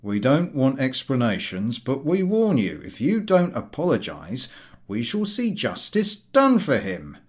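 A man's voice: mid-range voice, wildly swooping pitch, measured pace, voice pushed up. A man voicing a stern engineer in a storybook reading, formal, indignant and admonishing. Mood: stern indignation.

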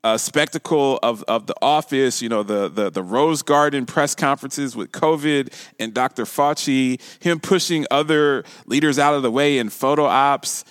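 A man's voice: mid-range voice, moderate pace, hardly any pauses, fairly bright tone, smooth, dry recording, studio quality. A man hosting a casual talk radio show, often repeating and restarting phrases for emphasis.

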